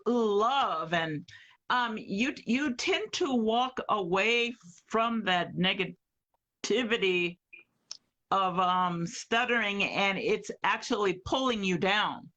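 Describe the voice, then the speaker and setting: Woman guest, slow careful talk, bright tone, lots of ums. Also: mid-range voice, expressive, dull audio. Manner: earnest, with hesitations and fillers. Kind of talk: casual interview chat.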